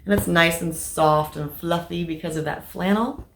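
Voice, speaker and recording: A woman with a slightly raspy voice from allergies, chatting casually about her crafting progress with lots of fillers. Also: on the low side, fairly even intonation, moderate pace, fairly bright tone, slight background noise. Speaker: crafting vlogger. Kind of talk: casual show-and-tell vlog. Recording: echoey room.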